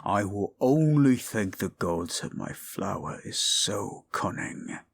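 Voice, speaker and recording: A man playing a tender, devoted, hulking goliath bodyguard in an intimate romantic roleplay, murmuring slowly and breathily. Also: lowish voice, lively intonation, a little hiss or hum. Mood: admiring, amused.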